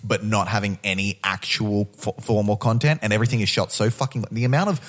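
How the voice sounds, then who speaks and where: A man's voice: lowish voice, fairly even intonation, quick pace. A man on a podcast panel discussing films casually, bluntly and with plenty of swearing.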